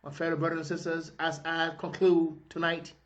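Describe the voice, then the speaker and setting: A man's voice: on the high side, monotone, fairly quick, highs cut off. A man from Liberia giving an impassioned video address to his compatriots, preaching in repeated rhetorical appeals. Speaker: political advocate addressing compatriots.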